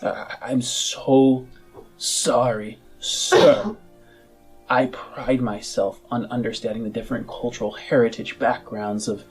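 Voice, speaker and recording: A man's voice: mid-range voice, slow, breathy edge, faint music behind. A man voicing a flustered, obsequious male servant who stammers and fawns, in a tabletop roleplaying game. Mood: flustered, apologetic. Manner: stammering, fawning.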